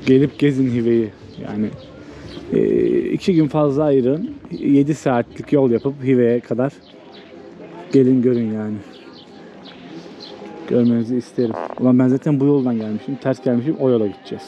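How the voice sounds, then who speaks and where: A man's voice: mid-range voice, expressive, moderate pace, lo-fi sound. A man chatting casually and enthusiastically to viewers as a travel vlogger walking outdoors. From Turkey. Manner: informal, enthusiastic, conversational. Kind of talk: casual chat to camera while walking around. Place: walking around an old town outdoors. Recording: clear background noise, outdoor street ambience.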